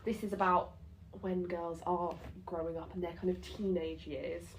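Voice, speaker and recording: A twenty-year-old woman co-hosting a chat podcast, talking quickly and bubbling with giggles. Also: fairly low pitch, level tone, a little hiss or hum, low-quality recording. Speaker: podcast co-host. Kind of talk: casual chat.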